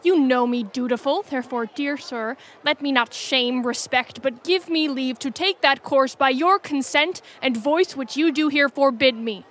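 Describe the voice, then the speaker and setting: A woman's voice: high voice, moderate pace, few pauses, a bit bright. A woman playing a noble, dutiful and stubborn warrior prince in a Shakespearean audio drama. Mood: firm, respectful.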